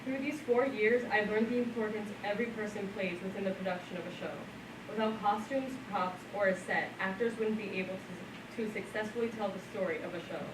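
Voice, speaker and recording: A teenage girl gives composed, articulate prepared remarks in a mid-range voice. She is far from the microphone, so her voice echoes through a large room over a steady wash of noise. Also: medium pitch, fairly quick. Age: late teens. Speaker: student speaker. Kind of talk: prepared speech at a school event.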